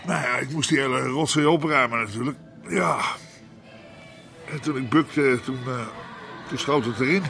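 An actor playing a stubborn, hot-tempered middle-aged Amsterdam underworld boss in a radio drama, blunt and slangy. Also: male voice, mid-range voice, moderate pace, creaky, pauses often, fairly bright tone, faint music behind. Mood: grumbling. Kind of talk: naturalistic Dutch radio drama acting.

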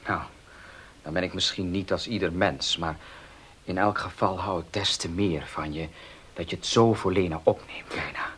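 An actor playing a headstrong farm owner and husband in a Dutch radio drama. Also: male voice, low voice, fairly even intonation, moderate pace, a bit bright, husky. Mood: defensive, placating.

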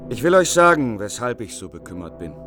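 An actor playing a burdened medieval king, speaking gravely and formally in a German radio play. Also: medium pitch, very animated, fairly quick, music in the background, lightly acted. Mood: troubled, grave. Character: troubled medieval king, burdened by threat of war.

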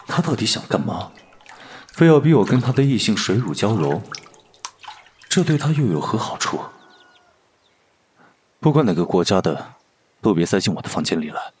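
A man playing a cool, fastidious alien parasite posing as a human in an audio drama, detached and disdainful. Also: on the low side, very animated, darkish tone, slight background noise. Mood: detached, annoyed. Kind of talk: audio drama dialogue and inner monologue.

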